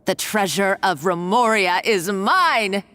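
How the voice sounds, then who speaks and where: An actress playing an obsessive, ruthless treasure hunter who speaks in a gloating, dramatic way. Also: female voice, mid-range voice, slow pace, pushed and bright, a little hiss or hum. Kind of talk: video game voice acting.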